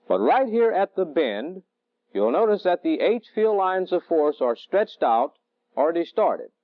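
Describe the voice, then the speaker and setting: A middle-aged man lecturing as a military technical instructor in a deliberate, didactic way, on a vintage training film soundtrack. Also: on the high side, dry recording, phone-quality sound. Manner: deliberate, didactic, measured phrasing. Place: indoor training film studio.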